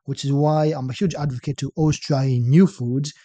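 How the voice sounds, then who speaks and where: A young man from Israel with an Israeli accent, chatting as a podcast guest and telling stories in a relaxed way, often ending points with "right?". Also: fairly high pitch, moderate pace, dry recording. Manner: relaxed storytelling, tags statements with "right?". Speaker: podcast guest, fitness coach. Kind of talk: casual interview chat.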